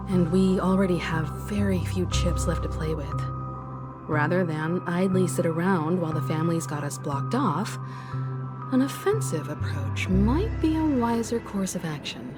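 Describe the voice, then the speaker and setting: An actress playing a composed, courteous strategist leading a crew in a video game, formal and articulate. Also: female voice, fairly low pitch. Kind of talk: measured video game dialogue.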